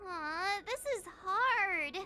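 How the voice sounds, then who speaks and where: A woman voicing a bubbly, excitable young girl traveller in a video game, perky and expressive as she muses aloud over music. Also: very high voice, extremely slow, full character voice. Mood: cheerful musing. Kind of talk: video game character acting.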